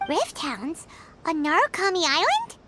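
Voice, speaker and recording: A woman voicing a tiny, excitable fairy companion girl in a game, bouncy and quick to react. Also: extremely high pitch, noisy background, exaggerated voice. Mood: curious. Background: music.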